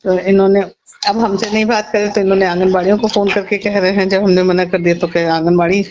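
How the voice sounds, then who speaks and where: A woman's voice: level tone, quick pace, background noise. Muffled Uttar Pradesh woman on the phone. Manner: conversational, recounts others' words in reported dialogue. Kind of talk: casual private phone conversation. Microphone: phone call recording. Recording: muffled low-fi recorded phone call.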